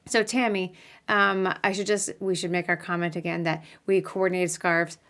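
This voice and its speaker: A woman hosting a casual live video interview, chatty and quick to laugh. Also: lowish voice, flat delivery, a bit bright. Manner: chatty, laughs often, apologetic at start. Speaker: podcast host.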